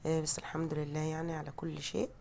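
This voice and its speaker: An Egyptian woman narrates home cooking in a lowish voice at a quick clip. She is chatty and modest about her own skills, and her voice is thickened by a heavy cold. The style is a casual recipe voice-over. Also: little pitch movement, gravelly, a little mellow, a little hiss or hum. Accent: Egyptian Arabic. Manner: chatty, self-deprecating.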